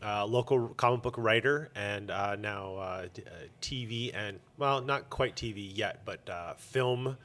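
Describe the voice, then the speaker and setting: A man's voice: on the low side, measured pace, few pauses, fairly bright tone. A man chatting casually as an interview guest and organizer, with a blunt, sarcastic, joking manner. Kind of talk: casual chat.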